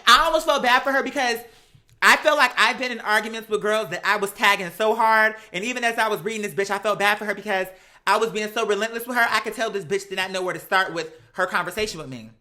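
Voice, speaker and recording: A woman doing casual gossip commentary on reality TV, sassy and animated, with slangy, profane talk and mocking quotes. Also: medium pitch, fast. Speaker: host of a live commentary show.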